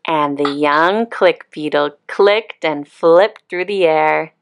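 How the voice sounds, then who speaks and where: A woman reading a children's picture book aloud as a calm, expressive storyteller. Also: sits low, slow.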